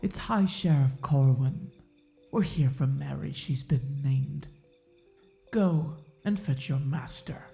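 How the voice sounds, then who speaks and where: An actor playing a young, dutiful but uneasy Puritan sheriff in an audio drama, with a hesitant, deferential manner. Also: male voice, medium pitch, slow, creaky, mellow, faint music behind. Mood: tense, official. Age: young adult.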